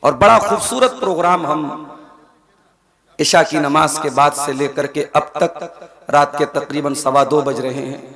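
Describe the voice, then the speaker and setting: A man preaching with a hoarse voice at a night village religious gathering, speaking in a measured, earnest way to the crowd. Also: fairly high pitch, fairly bright tone. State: hoarse, strained throat. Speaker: Islamic preacher. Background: crowd noise.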